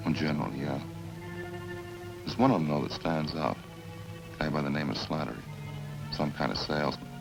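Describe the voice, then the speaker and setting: An extremely low, creaky voice of a remorseless drifter, talking flatly and without hurry. It is old-time radio crime drama, with music clearly behind the words. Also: male voice. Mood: casual, remorseless. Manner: flat, casual, unhurried confessing. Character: matter-of-fact, remorseless male drifter confessing to killings.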